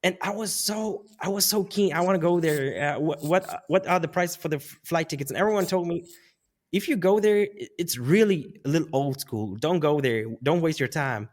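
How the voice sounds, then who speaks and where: Guy in his 30s, talks kinda high, rambles and laughs a lot. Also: moderate pace. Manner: rambling, restarts, laughs often. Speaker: guest. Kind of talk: casual interview conversation.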